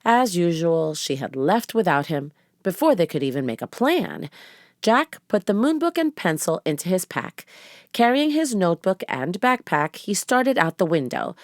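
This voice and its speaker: A woman's voice: fairly low pitch, sing-song, moderate pace, no echo, studio quality. A woman narrating a children's chapter book aloud as a storybook reader.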